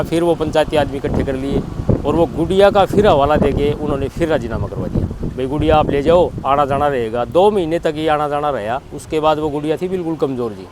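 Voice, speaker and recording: A man's voice: a little high, darkish tone, a little hiss or hum. A middle-aged man with a Haryanvi accent recounting events in a plain-spoken, spontaneous testimony, often quoting what others said. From Haryana, India. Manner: plain-spoken, quotes reported dialogue as he narrates. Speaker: bereaved father giving a statement.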